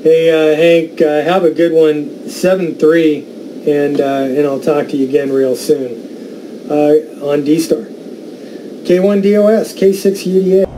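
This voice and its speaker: A man's voice: on the high side, steady tone, measured pace, warm tone, poor audio. A man talking casually as a ham radio operator during a radio contact. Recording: faint background noise.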